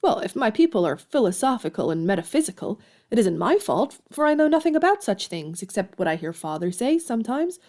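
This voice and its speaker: A woman's voice: mid-range voice, big pitch swings, brisk, hardly any pauses, darkish tone, natural voice. A woman playing a headstrong young writer in a period audio drama, outspoken and emphatic. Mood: earnest.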